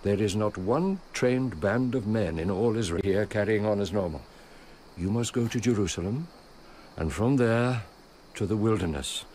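An actor playing a devout, shrewd old merchant and loyal steward in a radio drama, speaking in an earnest, measured way. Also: male voice, on the low side, lively intonation, darkish tone, lightly acted. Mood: fervent. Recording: radio drama with faint background noise.

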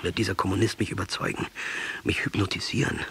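An actor playing a proud, defiant middle-aged guerrilla commander in captivity, wry and commanding, in a radio drama. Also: male voice, sits low, fairly even intonation, fast, vocal fry, a bit bright, a little hiss or hum. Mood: low, musing.

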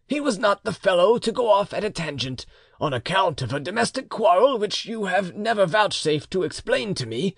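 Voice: mid-range voice, vocal fry, slightly rough, no echo, clean studio sound, voice pushed up. A reader as solemn, crafty old uncle.

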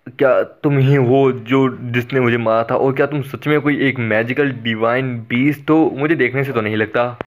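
A man's voice: medium pitch, steady tone, normal speed, hardly any pauses, muffled recording, lightly acted. A man voicing a young male cook hero in a cartoon recap, speaking hesitantly and politely. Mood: earnest.

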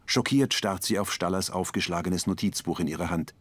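A man's voice: low-pitched, fairly quick, a bit bright. A man reading scripted audio description for a TV crime comedy in a neutral, matter-of-fact way.

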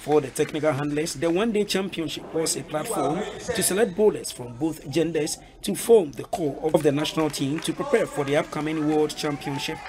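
A man reading a scripted news report in a measured, even way. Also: a little high.

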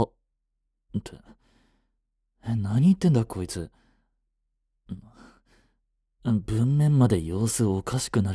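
A man playing a brusque teenage schoolboy, a gruffly caring childhood friend with blunt, casual speech, in a romantic voice drama. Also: mid-range voice, dark tone, no room sound, clean studio sound, full character voice. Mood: puzzled, uneasy. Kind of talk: Japanese romantic situation voice drama.

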